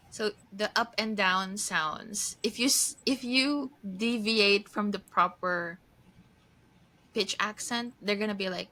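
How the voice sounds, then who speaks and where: A woman's voice: mid-range voice, sharp tone, slight background noise, rough recording. A woman in her early thirties from the Philippines who lives in Japan, co-hosting a casual chat with an old friend, laughing often and restarting sentences. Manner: laughs often, talks in quick bursts with restarts. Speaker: podcast co-host.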